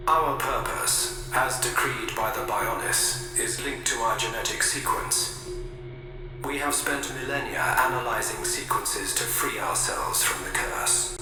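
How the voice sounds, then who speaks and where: An actor playing an ancient ancestral spirit, solemn, formal and without emotion, in a game. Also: male voice, mid-range voice, lightly acted. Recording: cavernous echoing hall, music behind.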